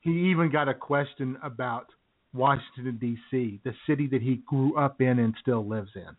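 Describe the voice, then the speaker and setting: A man's voice: medium pitch, moderate pace. Chatty man on a tinny phone line. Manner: chatty, rambling storyteller with chuckles. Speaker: radio show co-host. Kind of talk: casual banter.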